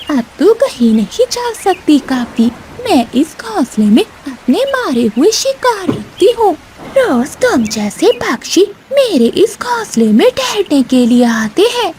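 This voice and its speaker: A woman's voice: very high voice, big pitch swings, slow, a little mellow, slight background noise, exaggerated voice, rough recording. A woman voicing a menacing, gloating female hunter eagle in a children's cartoon. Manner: threatening, gloating. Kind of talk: Hindi children's cartoon voice acting.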